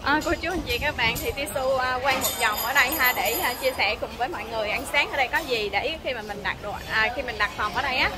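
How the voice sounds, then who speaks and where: A woman talks casually as she walks a buffet with a phone camera. Her voice sits a bit high, and her chatter is full of little fillers. Loud music plays underneath. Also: quick pace, few pauses. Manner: chatty, narrating while walking, many fillers. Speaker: travel vlogger. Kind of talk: casual walkthrough vlog. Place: hotel breakfast buffet. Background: loud background music.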